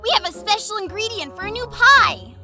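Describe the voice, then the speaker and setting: A woman's voice: extremely high pitch, brisk, pushed and bright, faint music behind, heavily acted. A woman voicing a plucky, blunt young filly pony in an amateur cartoon fan fiction reading. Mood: eager.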